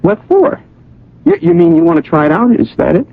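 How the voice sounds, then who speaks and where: An actor playing an earnest, lovesick suitor in a radio drama, halting and emotional as he presses with anxious questions. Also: very high voice, huge pitch swings, creaky, full character voice. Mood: eager, unsettled. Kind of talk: old radio drama acting. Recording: dull old radio broadcast.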